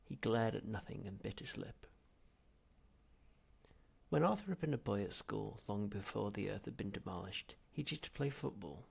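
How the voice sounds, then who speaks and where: A man reading a comic science fiction novel aloud as an expressive audiobook narrator, with a gravelly, husky voice. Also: fairly low pitch, normal speed. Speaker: audiobook reader.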